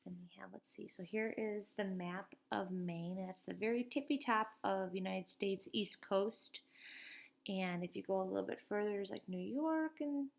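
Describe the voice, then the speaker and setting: A woman in her thirties, a teacher reading a children's chapter book aloud from home into a webcam, with lively read-aloud delivery and quick asides. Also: mid-range voice, creaky. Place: small room at home. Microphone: webcam at desk.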